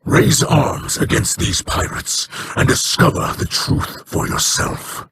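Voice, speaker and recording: An actor playing a solemn, regretful male elder of an alien people in a video game, speaking in measured, formal sentences. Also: fairly bright tone, no echo. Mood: grave. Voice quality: low, measured, formal speech.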